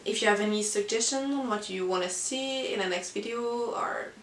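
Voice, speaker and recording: A woman who is not a native English speaker, chatting casually about books as a vlogger in a halting, hesitant way. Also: mid-range voice. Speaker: book vlogger. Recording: roomy, distant mic with hiss.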